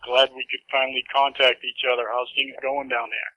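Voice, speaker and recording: A man's voice: medium pitch, monotone, brisk, no echo, phone-quality sound. A man with a Boston accent calling into a radio show, folksy and friendly, calling people buddy and friend. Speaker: caller.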